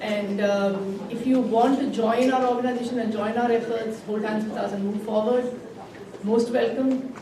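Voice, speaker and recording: A woman's voice: on the high side, fairly even intonation, moderate pace. A woman hosting a community event for an environmental group, introducing speakers with many fillers in a library room. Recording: very echoey room, far from the mic, noisy.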